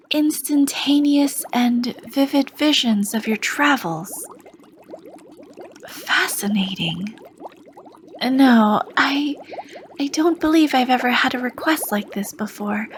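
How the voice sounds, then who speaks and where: A woman playing a charming, sly potion shopkeeper, talking slowly and softly with a teasing edge and dipping into whispers. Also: high voice, animated, a little hiss or hum. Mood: curious. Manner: slow, soft-spoken, teasing, drifting into whispers. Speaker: ASMR roleplay performer. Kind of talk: ASMR roleplay.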